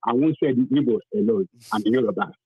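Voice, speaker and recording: Over a tinny, phone-like connection, a husky Nigerian man calls in to a talk show. He speaks emphatically and with passion, circling back over phrases to stress them. The line has a roomy ring to it. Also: mid-range voice, big pitch swings, fast. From southern Nigeria. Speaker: caller to a talk programme. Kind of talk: impassioned phone-in testimony.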